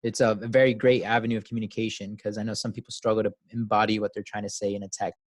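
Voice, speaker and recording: A man with a halting, informal way of talking, moderating an online open forum as a casual host. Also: on the low side, little pitch movement, dry recording. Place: online video call.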